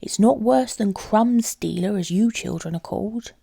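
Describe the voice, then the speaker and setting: A woman's voice: mid-range voice, moderate pace, mellow. A woman voicing a sly, greedy male cat in a storybook, smug and dismissive, with emphatic delivery. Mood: evasive. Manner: smooth-talking, dismissive, emphatic. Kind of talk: storybook character voice.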